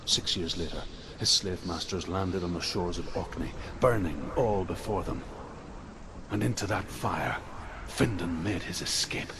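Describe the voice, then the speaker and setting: A man's voice: low voice, noisy background. A man playing a weary former slave who tells his tale solemnly and sorrowfully in a video game. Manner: slow, grave, deliberate, sorrowful. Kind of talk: dramatic video game storytelling.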